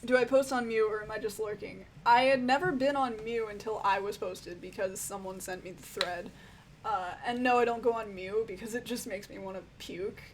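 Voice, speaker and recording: A woman with a croaky voice, a music reviewer answering viewer questions in a rambling, casual way full of fillers. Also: mid-range voice, steady tone, normal speed, slight background noise. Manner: rambling, filler-heavy, frank and swearing. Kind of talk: casual Q&A chat.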